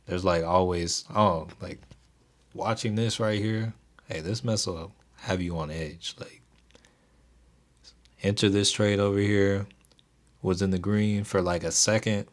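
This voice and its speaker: Young male streamer, very deep and soothing, even delivery, laid-back chat. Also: unhurried, long pauses. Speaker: stock trading livestream host. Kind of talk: casual livestream chat with viewers.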